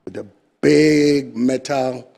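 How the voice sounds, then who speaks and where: Man, Ghanaian accent, middle-aged, gravelly, husky, dark, forceful, argumentative. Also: mid-range voice, fairly even intonation, slow pace. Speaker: fisheries association president, studio guest. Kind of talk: TV studio discussion.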